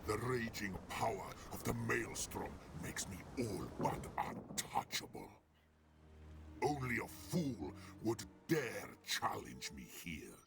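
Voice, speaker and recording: An actor playing a haughty undead vampire pirate count, menacing and grandiose, in a pompous theatrical manner over game music. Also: male voice, full character voice. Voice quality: deep gravelly voice. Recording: game cutscene with loud music.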